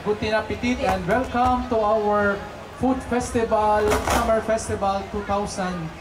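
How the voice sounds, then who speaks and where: Woman calling out a grace prayer, loud, echoey far-off room. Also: mid-range voice, fairly even intonation, unhurried. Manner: loud, announcing. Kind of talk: saying a grace prayer and welcome.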